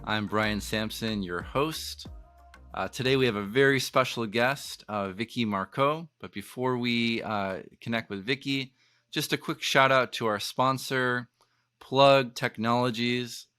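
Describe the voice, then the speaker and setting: A man's voice: mid-range voice, measured pace, bright, forceful tone, smooth, no room sound, clean studio sound, faint music behind. A man hosting an interview podcast, asking questions with a lot of "uh" and "um". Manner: hesitant, many fillers. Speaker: podcast host.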